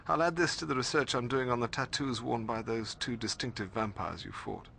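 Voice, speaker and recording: An actor playing a bookish, middle-aged British librarian mentor in a video game, formal and precise, hesitating when puzzled. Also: male voice, mid-range voice, brisk, creaky, pushed and bright, a little hiss or hum. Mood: earnest, cautioning.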